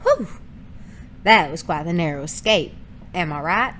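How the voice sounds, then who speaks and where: A woman's voice: on the low side, lively intonation, measured pace, fairly bright tone, slight background noise. A woman playing a cocky space mercenary in an audio drama, casual, teasing and roguish. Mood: breezy.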